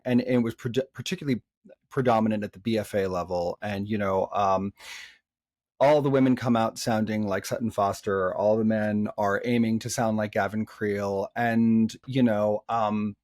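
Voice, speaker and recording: A man's voice: on the low side, a little mellow, no echo, clean studio sound. A man who coaches singers, speaking as a podcast guest in a thoughtful, conversational way, with frequent fillers and restarts.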